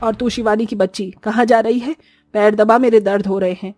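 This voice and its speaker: A woman's voice: medium pitch, fairly even intonation, a little fast, a little mellow, dry recording, clean studio sound, soft background music, lightly acted. A woman voicing a haughty, scornful older rich mother-in-law who scolds and orders people about in a moral-story cartoon. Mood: bossy. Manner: scolding, imperious.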